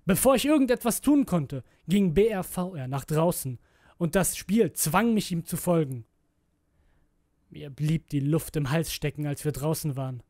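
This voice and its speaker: A man's voice: fairly high pitch, lively intonation, normal speed, darkish tone, no room sound, clean studio sound. A man narrating a scripted horror story in a brooding, theatrical manner. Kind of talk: dramatic scripted narration of a creepypasta.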